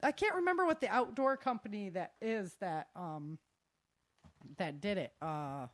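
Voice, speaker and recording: A woman's voice: mid-range voice, expressive, unhurried, no room sound. A woman chatting casually as host of a live show, informal and slangy, with exclamations.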